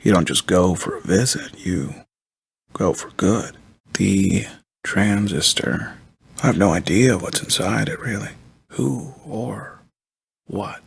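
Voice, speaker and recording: A weary, eccentric thinker from a video game. His low voice is very husky and breathy, full of gravel. He talks slowly and haltingly, musing in understatement and circling back over his own phrases. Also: full character voice. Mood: musing, cryptic. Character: weary, eccentric, soft-spoken intellectual man with hidden motives. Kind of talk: video game character dialogue.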